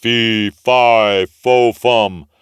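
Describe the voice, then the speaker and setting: A man voicing a huge, bullying, hungry ogre with loud, drawn-out bellows in a children's storybook reading. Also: lowish voice, steady tone, clear, resonant tone, dry recording, clean studio sound, voice pushed up. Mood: roaring, menacing. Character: huge booming bullying ogre, gruff, hungry, easily roused.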